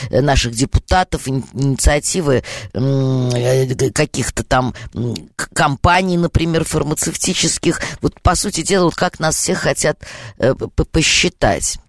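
A woman's voice: sits low, moderate pace, dry recording, studio quality. A woman with a Moscow accent hosting a radio call-in show, hesitant, with many fillers and stuttered starts. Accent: Moscow Russian.

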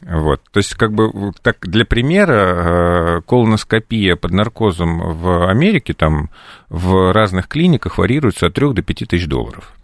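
A man in his forties, a doctor answering interview questions in a measured, hesitant way full of pauses. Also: sits low, lively intonation, dry recording, studio quality. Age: 40s. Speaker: doctor guest.

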